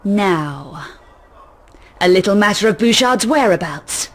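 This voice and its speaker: A woman's voice: mid-range voice, expressive, slow. A woman playing a self-assured, threatening young adventurer in a video game, speaking in a cool, dry, sardonic way. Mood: cool, menacing. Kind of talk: video game cutscene voice acting.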